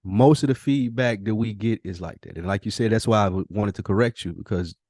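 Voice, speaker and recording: A man's voice: on the low side, brisk, a little mellow, dry recording, clean studio sound. A man co-hosting a podcast, talking casually and emphatically in blunt, slangy, profane language. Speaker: podcast co-host. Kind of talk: casual opinionated podcast conversation.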